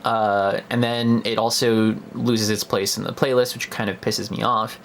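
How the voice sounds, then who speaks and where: A man's voice: fairly low pitch, flat delivery, normal speed, vocal fry, slight background noise. A young man giving a casual, unscripted product review in a hesitant, conversational way, as if thinking aloud.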